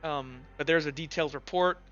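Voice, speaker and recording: High, bright-voiced man running a game. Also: lo-fi sound, faint music behind. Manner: halting, conversational. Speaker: game master. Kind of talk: casual improvised tabletop game narration.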